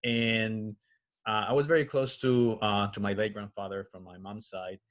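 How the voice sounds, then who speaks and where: A man's voice: fairly low pitch, flat delivery, moderate pace. A man from Colombia with a Colombian accent and Spanish as his first language, a doctor telling personal stories in a casual, conversational interview style. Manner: conversational, informal. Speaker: oncologist being interviewed.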